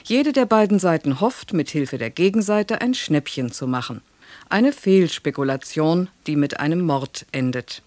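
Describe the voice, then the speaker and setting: A radio announcer of unclear sex giving a scripted, measured introduction to a radio play. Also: mid-range voice, animated, darkish tone, dry recording.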